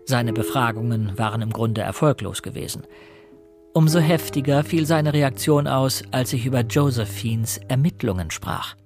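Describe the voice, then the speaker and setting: An actor playing an earnest young investigator who narrates a German radio play, composed and courteous. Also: male voice, medium pitch, moderate pace, faint music behind, lightly acted. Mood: composed, reflective.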